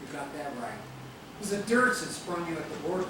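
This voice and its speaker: Seasoned rebel mentor male stage actor, earnest and high-pitched, distant noisy videotape. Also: big pitch swings, brisk, heavy reverb. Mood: earnest, reflective. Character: seasoned rebel elder and parent, steadfast, idealistic mentor. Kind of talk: stage play acting. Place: theatre stage, recorded from a distance.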